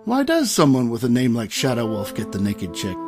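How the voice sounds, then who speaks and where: A man's voice: mid-range voice, wildly swooping pitch, brisk. A man reading scripted narration as the announcer of a show. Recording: music behind the voice.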